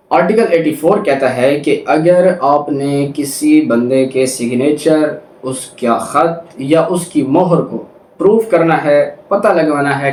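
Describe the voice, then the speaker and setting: A man's voice: a little high, a little mellow. A man with a Pakistani accent giving a law lecture, reading out clauses and explaining them didactically with questions he answers himself. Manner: didactic, poses questions and answers them, code-switches into English. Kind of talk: lecture explaining legal articles, reading clauses then paraphrasing. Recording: echoey room.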